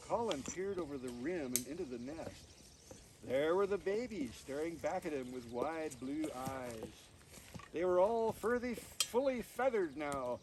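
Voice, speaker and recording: A man reading his storybook aloud to listeners outdoors, with expressive telling and chatty asides. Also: on the high side. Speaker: author reading from own book. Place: outdoors on a mountain. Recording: distant mic, noisy outdoor recording.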